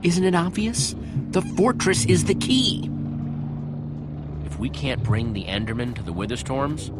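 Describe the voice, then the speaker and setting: A man's voice: mid-range voice, expressive, unhurried, natural voice. An actor playing a determined young male adventurer in a video game, earnest and urgent in delivery. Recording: loud music under the voice.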